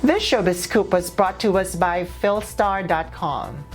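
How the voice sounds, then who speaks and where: A woman reports entertainment news from a script. Her voice sits slightly low, with soft music running underneath. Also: expressive, unhurried.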